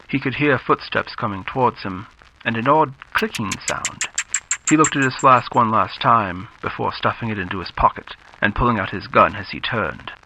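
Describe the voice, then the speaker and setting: A man narrating a radio-style thriller from a script, dramatic and deliberate, with pauses for effect. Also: on the low side, bright, forceful tone. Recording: slightly dull, faint background noise.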